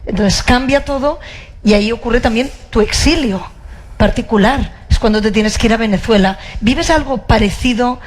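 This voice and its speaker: A woman's voice: on the high side, moderate pace. A woman interviewing an author about her book, attentive and ready with short affirmations.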